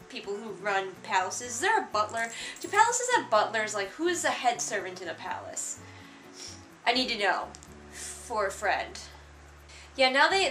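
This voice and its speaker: Bright, high-voiced woman, sarcastic book critic. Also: reverberant, a little hiss or hum, low-quality recording. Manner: sarcastic, exasperated, conversational. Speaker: host of a book read-along. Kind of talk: casual comedic book critique commentary.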